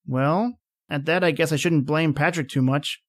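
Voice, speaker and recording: A woman's voice: low voice, normal speed, lightly acted. A woman playing an impatient, rational professor in a radio horror play, with a theatrical, exclamatory manner. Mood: confident, condescending. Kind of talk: old-time radio horror acting.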